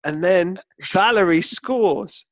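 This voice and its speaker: A man's voice: high voice, takes it slowly, background noise. A man co-hosting a football podcast, telling stories in theatrical, mock-indignant, sweary banter. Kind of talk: comic storytelling banter.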